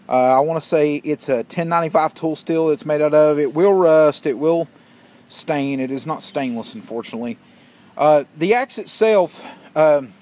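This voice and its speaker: Plainspoken man reviewing gear. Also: fairly high pitch. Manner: plainspoken, opinionated, keeps addressing viewers as guys. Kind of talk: casual product review vlog.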